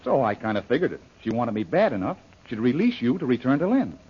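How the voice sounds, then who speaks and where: An actor playing a brave, modest adventurer in an old radio serial, laconic and husky. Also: male voice, mid-range voice, very animated, fast. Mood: matter-of-fact. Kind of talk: 1930s radio serial acting. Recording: dull, lo-fi old radio recording.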